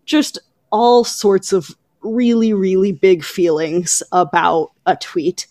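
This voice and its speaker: A woman podcast host with a mid-range voice recorded very dry. She talks in a conversational, explanatory way, pausing now and then to choose her words. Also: medium pitch, fairly even intonation, mellow, no echo. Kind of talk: conversational retelling of book news.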